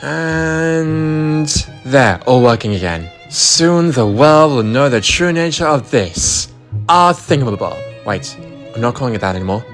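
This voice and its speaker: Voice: medium pitch, animated, a little slow, soft background music, lightly acted. A performer voicing a grumbling, petty scientist in a cartoon fandub, speaking emphatically.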